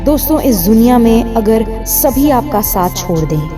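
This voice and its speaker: A woman narrating a scripted story dramatically, with deliberate pauses and emotive emphasis. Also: a little high, lively intonation, music in the background.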